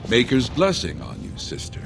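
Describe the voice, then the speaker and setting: A man voicing an earnest, pious dwarf missionary in a video game, speaking in a gently persuasive way. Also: mid-range voice, huge pitch swings, normal speed, vocal fry. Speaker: preacher. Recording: game audio with music underneath.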